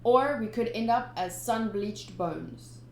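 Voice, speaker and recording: A woman's voice: fairly high pitch, slow, slight background noise. A woman voicing a stern old male pirate captain who speaks grimly and matter-of-factly in an echoey room. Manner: grim, matter-of-fact threats.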